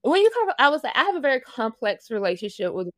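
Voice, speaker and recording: A woman's voice: sits high, big pitch swings, fast talker, fairly bright tone, no echo, studio quality. A woman from Louisiana with a Louisiana Southern accent, a guest in a casual interview, talking earnestly and reflectively, with frequent laughs and restarted phrases. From Louisiana, USA. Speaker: interview guest, fashion project manager.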